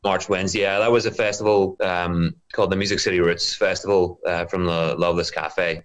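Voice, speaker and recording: A Northern Irish man with a deep-pitched voice speaks as a guest in a casual interview. He talks thoughtfully and rambles a little as he works through his answers. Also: level tone, normal speed. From Northern Ireland. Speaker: guest, singer-songwriter.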